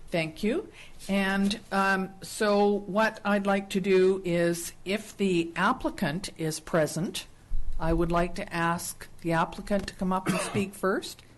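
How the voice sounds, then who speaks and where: A woman's voice: mid-range voice, fairly even intonation, a little hiss or hum. A woman chairing a town council public hearing, politely guiding speakers to the microphone. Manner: polite, unhurried, with fillers. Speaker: meeting chair. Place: council chamber.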